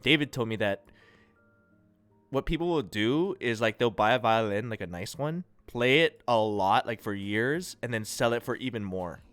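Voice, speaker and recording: A man's voice: mid-range voice, normal speed, dry recording, faint music behind. Congested, nasally man chatting on a stream. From United States. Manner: rambling, relaxed, self-deprecating, frequent fillers and laughs. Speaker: livestream host. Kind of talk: casual chat reading and answering viewer chat.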